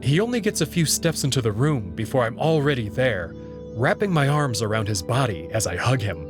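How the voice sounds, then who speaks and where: A man narrating a visual novel read-through as its host, in an expressive, scripted storytelling style. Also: mid-range voice, fairly quick. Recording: music behind the voice. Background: background music.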